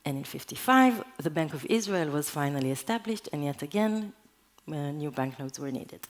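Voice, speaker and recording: A woman's voice: sits low, lively intonation, moderate pace. Earnest woman presenting a talk, Hebrew-native. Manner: earnest, explanatory, with occasional restarts. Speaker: conference speaker. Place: conference hall.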